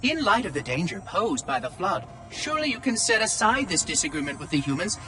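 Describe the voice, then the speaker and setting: A fussy, high, lively machine mind. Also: male voice, a little fast, music in the background. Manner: prim, precise, fretful. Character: fussy ancient machine intelligence, male. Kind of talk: video game cutscene acting. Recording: game audio with loud score.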